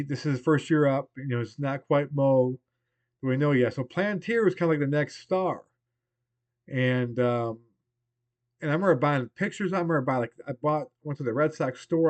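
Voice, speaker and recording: A man in his forties with a cold, hoarse and losing his voice, rambling casually through a baseball game replay as host. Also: fairly high pitch, normal speed, darkish tone. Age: 40s. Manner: rambling, conversational, trailing off.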